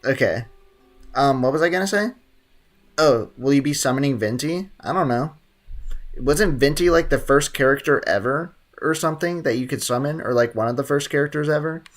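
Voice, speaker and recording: A man's voice: medium pitch, moderate pace, faint music behind. A man streaming a video game, casually rambling, joking and chatting with viewers. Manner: rambling, joking, talks to chat. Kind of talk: casual gameplay commentary.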